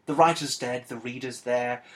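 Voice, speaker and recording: A man playing a dead Argentinian woman writer as a comic podcast host, world-weary and scornful, with a halting, deadpan, exasperated delivery. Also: medium pitch, close to an everyday voice. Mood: wry, musing. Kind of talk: comic in-character monologue and dramatic reading.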